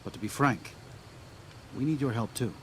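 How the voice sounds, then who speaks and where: A man playing an earnest, gentle missionary in a video game, talking in a mild, sincere and slightly hesitant way. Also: medium pitch, animated, moderate pace, noisy background, lightly acted. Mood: sympathetic. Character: earnest, gentle missionary leader guiding wasteland tribes.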